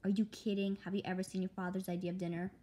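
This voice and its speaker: A woman's voice: mid-range voice, rapid-fire, a little hiss or hum. A woman reading a comic story aloud, acting out the dialogue and speaking the sound words. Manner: animated, acts out characters' dialogue.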